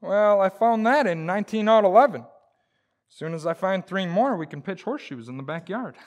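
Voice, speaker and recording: Woman playing blustery, animated radio-comedy husband. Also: medium pitch, normal speed, muffled recording, lightly acted. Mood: blustering, defensive. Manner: folksy, exclamatory, blustering. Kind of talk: old-time radio comedy acting.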